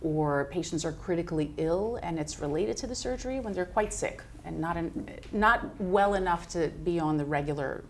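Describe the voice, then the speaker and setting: Woman from Brooklyn, lowish voice, medical guest, measured explainer, faint room hum. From Brooklyn, New York. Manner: clear, measured explainer with frequent "uh" fillers. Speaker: physician guest. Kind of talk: explanatory interview answers.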